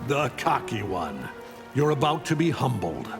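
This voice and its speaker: A man's voice: medium pitch, sing-song, a little slow, vocal fry. A man playing an arrogant, stern middle-aged alien warlord in a video game, haughty, taunting and menacing. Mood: cold menace. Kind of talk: video game fight-intro acting. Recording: loud music behind the voice.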